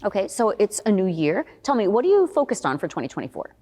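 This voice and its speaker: A woman's voice: medium pitch, sing-song, quick pace, warm tone, long reverb tail. A woman hosting an interview show, putting questions to a guest in a prepared broadcast style.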